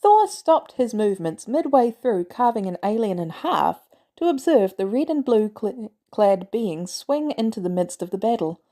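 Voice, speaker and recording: A woman reading scripted fiction as a podfic narrator, with expressive, dramatic emphasis. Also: mid-range voice, moderate pace.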